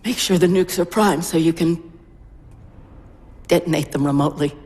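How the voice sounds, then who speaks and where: A woman playing an anxious, indignant research scientist in a video game, agitated and sharp-tongued. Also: sits low, moderate pace, big-room sound, slight background noise, natural voice. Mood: shaken, bitter. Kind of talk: cinematic video game acting.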